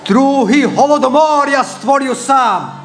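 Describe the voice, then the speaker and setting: A man's voice: extremely high pitch, a little slow, a bit bright, soft background music. A man acting a pompous, melodramatic dictator in a satirical stage monologue in verse. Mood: menacing, boastful.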